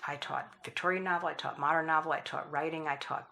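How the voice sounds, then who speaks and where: A woman's voice: low voice, steady tone, fast, gravelly. A middle-aged woman, a philanthropist and former professor, talking thoughtfully in an interview and often restarting her sentences. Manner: thoughtful, with frequent restarts and soft laughs. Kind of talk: conversational interview.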